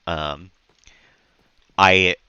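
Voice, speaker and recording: Calm, low-voiced man streaming games. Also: drawn-out words, clean tone, no room sound, muffled recording. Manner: calm, relaxed, rambling. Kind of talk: casual chat while gaming.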